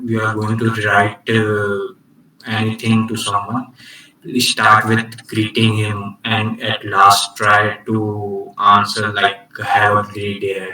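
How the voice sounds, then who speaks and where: A man presenting an online club orientation on communication skills, speaking haltingly with many restarts and fillers. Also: fairly low pitch, bright, forceful tone, reverberant, far from the mic, a little hiss or hum, low-quality recording. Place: video call.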